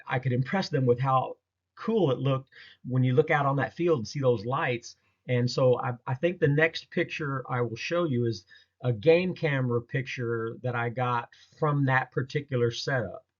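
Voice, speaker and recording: A man talking casually through a slideshow of his own experiences, in a halting, rambling, folksy way. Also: mid-range voice. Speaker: presenter recounting a field experience. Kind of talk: casual narrated slideshow storytelling. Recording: dull lo-fi audio.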